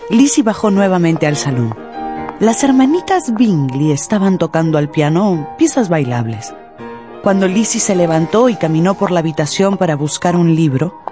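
A woman narrating a scripted radio drama in an expressive storytelling manner. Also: fairly low pitch.

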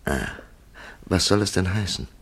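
A scheming writer telling his tale, german radio play, real low gravelly voice, literary and deliberate. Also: expressive, a bit bright, exaggerated voice. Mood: coaxing. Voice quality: creaky, gravelly. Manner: deliberate, literary, dramatic storytelling. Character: thoughtful, calculating male writer narrating his own story.

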